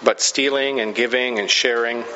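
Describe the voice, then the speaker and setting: A man's voice: medium pitch, fairly even intonation, normal speed, pushed and bright, a little hiss or hum. A male pastor from the Pacific Northwest telling a story sermon, chatty and self-deprecating, laughing at his own stories. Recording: dull sound with long hall reverb.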